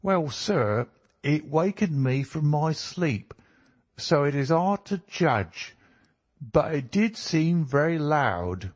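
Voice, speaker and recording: A man's voice: a little high, very animated, slow, voice pushed up, full character voice. A man voicing a humble, unsure woman cook in an audiobook, hesitant and deferential. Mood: uncertain. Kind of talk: audiobook character dialogue.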